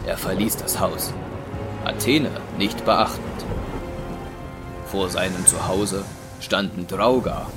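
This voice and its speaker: Ein Mann als Erzähler eines Hörspiels, der einen geschriebenen Text feierlich und dramatisch vorträgt. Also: male voice, sits low, slow, pauses often, over music. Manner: solemn, dramatic. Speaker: narrator. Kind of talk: dramatic scripted audio drama narration.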